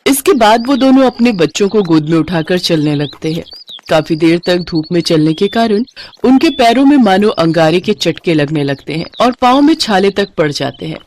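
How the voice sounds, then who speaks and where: A woman's voice: on the low side, darkish tone, a little hiss or hum. A woman narrator reading a scripted story in a storytelling style.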